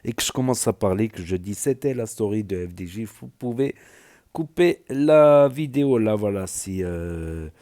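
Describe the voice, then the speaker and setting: A man's voice: on the low side, expressive, moderate pace, dark tone. A man with a southwestern French accent giving rambling, casual reaction commentary as a video host, full of fillers and restarts. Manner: rambling, filler-heavy, many "voilà" and "euh". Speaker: YouTube news commentator.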